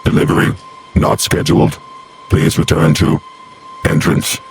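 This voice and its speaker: A man's voice: a little slow, a little hiss or hum, natural voice. A man voicing a cold, towering steam-age factory automaton in a gothic audio drama, giving clipped, emotionless commands. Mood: cold, commanding.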